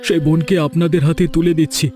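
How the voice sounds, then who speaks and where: A man's voice: on the high side, level tone, fast talker, darkish tone, lightly acted. A man playing a humble, protective elder brother in a village cartoon tale, speaking earnestly and pleadingly. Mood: earnest, entrusting. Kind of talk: animated cartoon story dialogue.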